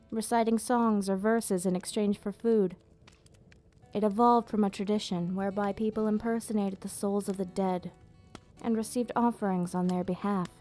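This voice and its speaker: A woman playing a gentle, nostalgic vampire hostess in an ASMR roleplay, speaking softly in slightly formal, unhurried explanations. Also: mid-range voice, fairly even intonation, close to an everyday voice. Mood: fond, explaining. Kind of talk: ASMR roleplay, soft storytelling. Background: background music.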